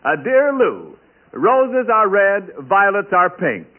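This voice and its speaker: High, animated male 1940s radio announcer. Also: slow. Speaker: announcer and comic sidekick. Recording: tinny old radio broadcast.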